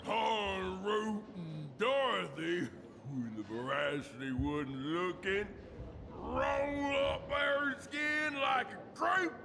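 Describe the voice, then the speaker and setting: Game role: shrill, heavily creaking, excitable little creature, theatrical ranting, very slow drawn-out words. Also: male voice, noisy background, full character voice. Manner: theatrical, shouting, erratic drawn-out delivery. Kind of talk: video game character acting.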